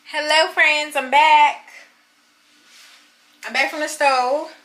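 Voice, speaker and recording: A woman's voice: high-pitched, steady tone, slow, fairly bright tone, low-quality recording. A woman in her thirties chatting candidly to the camera in a casual vlog, often laughing. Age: 30s. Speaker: vlogger.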